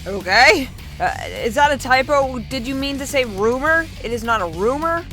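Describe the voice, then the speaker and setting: A young woman vlogger chatting casually as she reads out and answers viewer comments, in a chatty, excitable manner. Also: high-pitched, normal speed, faint music behind.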